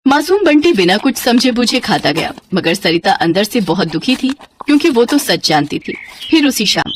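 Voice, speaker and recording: A woman narrating a scripted children's story in an expressive storyteller's manner. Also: a little high, moderate pace, background noise.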